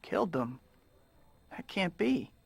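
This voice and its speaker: An actor playing an earnest, harried young student hero in a video game cutscene, boyish and well-meaning. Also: male voice, high-pitched, big pitch swings, takes it slowly, vocal fry, breathy edge, no room sound, full character voice. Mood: disbelieving. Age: young adult.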